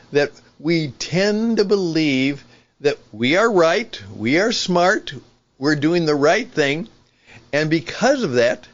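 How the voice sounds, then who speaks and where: An older man hosting an opinion podcast, speaking off the cuff in a deliberate, emphatic way and repeating phrases for stress. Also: fairly high pitch. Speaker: podcast host, veteran broadcaster. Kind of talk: unscripted opinion monologue. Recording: dull lo-fi sound.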